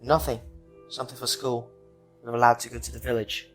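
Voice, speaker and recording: A man's voice: mid-range voice, little pitch movement, brisk, a bit bright, soft background music, lightly acted. An actor playing a put-upon, guarded teenage boy in an audio drama, speaking in an understated way.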